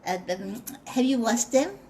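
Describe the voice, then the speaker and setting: A woman's voice: fairly high pitch, very animated, measured pace, darkish tone, slight background noise. A woman playing a stammering, timid little mouse. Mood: pleading. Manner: stammering, pleading, full of fillers. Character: small timid male city mouse, fussy and nervous.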